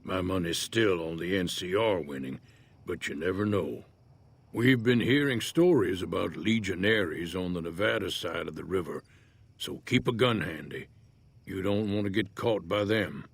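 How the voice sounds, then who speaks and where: An actor playing an easygoing older American prospector in a Western-style video game, folksy and laconic in manner. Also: male voice, sits low, normal speed, vocal fry, slightly rough, full character voice. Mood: measured, wary. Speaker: game character, townsfolk prospector. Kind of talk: video game character dialogue.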